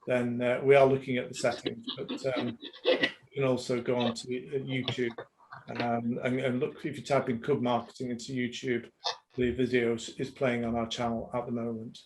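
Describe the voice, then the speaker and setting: A middle-aged man hosts a live-streamed business interview, asking questions and relaying viewer comments from the chat. He talks in a friendly but halting way, full of ums and you-knows, at a mid-range pitch. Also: little pitch movement, noisy background. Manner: halting, friendly, many fillers. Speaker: host of a live business showcase.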